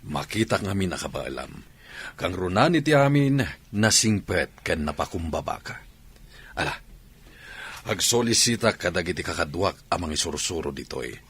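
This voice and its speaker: A man's voice: sits low, sing-song, normal speed, a bit bright, breathy edge, full character voice. A man playing an encouraging middle-aged school sports coach in a radio drama, with an Ilocano accent.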